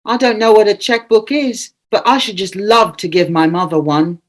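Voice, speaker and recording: Lady as a wistful little boy, naive, mid-pitched voice. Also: moderate pace, close to an everyday voice. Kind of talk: audio play acting.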